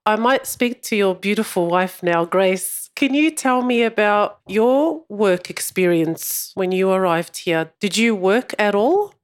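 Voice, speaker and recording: A woman's voice: medium pitch, animated, measured pace, hardly any pauses, clean tone. A woman interviewing older migrants, asking questions and affirming their answers. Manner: encouraging, affirming. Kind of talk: oral history interview.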